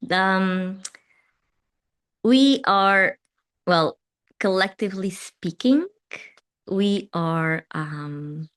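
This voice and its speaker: Portuguese-accented woman, non-native English, live spiritual host; gentle, slow, halting delivery. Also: medium pitch. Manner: gentle, unhurried, with many fillers and restarts. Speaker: meditation host and teacher. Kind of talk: live-streamed spiritual talk greeting viewers.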